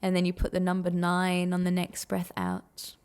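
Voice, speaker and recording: A woman leading a guided meditation in a gentle, soothing, unhurried manner with long pauses. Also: fairly low pitch, sing-song, clean tone.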